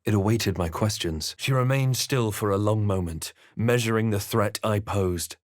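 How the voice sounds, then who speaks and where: An actor playing a brooding fantasy adventurer in a game, narrating his quest in a grave, measured, deliberate way. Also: on the low side, dry recording, clean studio sound, close to an everyday voice. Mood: somber, reflective. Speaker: video game player-character voice. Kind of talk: dramatic first-person game narration.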